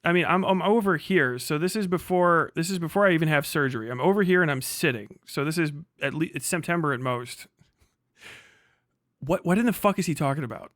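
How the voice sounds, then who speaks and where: A man's voice: a little high, lively intonation, brisk, a bit bright, dry recording, studio quality. A man livestreaming combative, off-the-cuff reaction commentary, indignant and mocking, swearing heavily and repeating phrases for emphasis.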